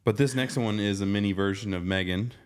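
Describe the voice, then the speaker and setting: A man's voice: on the low side, a little fast, clean tone. A man co-hosting a podcast, chatting in an easygoing way and laughing often. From American South. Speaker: podcast co-host. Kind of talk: casual chat.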